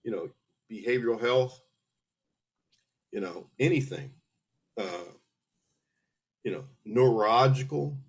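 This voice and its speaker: A man's voice: mid-range voice, expressive, slow pace, long pauses, slightly rough. A middle-aged man from Philadelphia, an army veteran guest in an interview, talking earnestly and explaining things step by step with many restarts. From Philadelphia, USA. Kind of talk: casual interview conversation.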